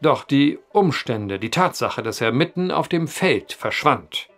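An actor playing a brooding, eloquent storyteller at a bar in an audio drama, wordy and theatrical. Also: male voice, mid-range voice, animated, measured pace, a bit bright, faint music behind. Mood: wry.

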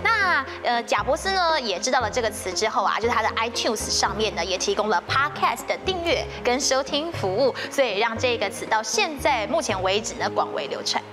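A woman's voice: a little high, very animated, a little fast, hardly any pauses. A woman in her thirties with a Taiwanese Mandarin accent, presenting quiz questions and explanations as a TV co-host in a studio. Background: music.

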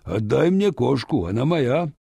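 Man acting possessive lovelorn painter, storybook villain, grand manner, mellow husky tone. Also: mid-range voice, very animated, unhurried, no room sound, heavily acted. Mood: insistent.

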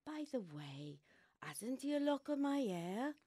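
An actress playing a glamorous, worldly famous actress in a radio play, theatrical, knowing and gently patronising. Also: female voice, a little high, big pitch swings, measured pace, slightly rough, no echo, clean studio sound, exaggerated voice. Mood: worldly, persuasive.

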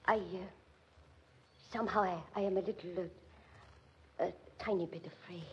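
An actress playing an anxious, gentle German immigrant widow in a 1940s radio drama, speaking with a German accent in halting, pleading, formal phrases. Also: female voice, on the low side, steady tone, slight background noise, natural voice. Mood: nervous. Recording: muffled vintage 1940s radio recording.

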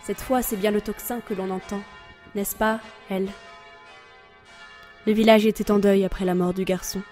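A woman's voice: mid-range voice, fairly even intonation, normal speed, darkish tone, soft background music, close to an everyday voice. An actress playing a weary, brooding female reaper of souls in an introspective audio drama narration. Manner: solemn, introspective.

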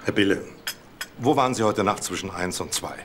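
A man's voice: low-pitched, brisk, noisy background, close to an everyday voice. A man playing a terse, probing police detective in a crime drama. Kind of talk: German TV crime drama dialogue.

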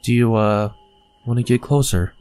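A young man playing a gentle, concerned friend in a comic dub, speaking in a hesitant, earnest way. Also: mid-range voice, fairly even intonation, normal speed, clean tone, lightly acted. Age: young adult. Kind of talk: animated comic dub acting.

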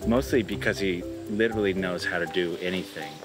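A man's voice: low voice, brisk. A young man interviewed outdoors, speaking thoughtfully with fillers. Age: young adult. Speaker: resident artist. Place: outdoors among trees. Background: music and crickets.

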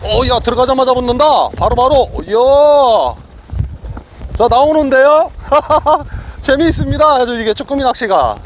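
A middle-aged man giving excited, chatty play-by-play while fishing on a boat deck at sea. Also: extremely high pitch, measured pace, lo-fi sound. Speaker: fishing vlogger. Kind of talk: casual live commentary while fishing. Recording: wind buffeting the mic outdoors. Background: wind and waves.

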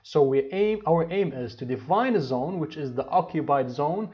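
A man's voice: on the high side, lively intonation, normal speed, highs cut off. A man in his thirties teaching an engineering course over slides, explaining step by step with restarts and fillers. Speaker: HVAC instructor. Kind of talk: slide lecture.

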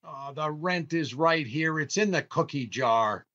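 A man's voice: fairly high pitch, a little slow, sharp tone. An older man playing a long-suffering, scolding family man in an old-time radio comedy, theatrical and exasperated. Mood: grudging. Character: exasperated, long-suffering grumbling husband and father. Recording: slightly dull online recording.

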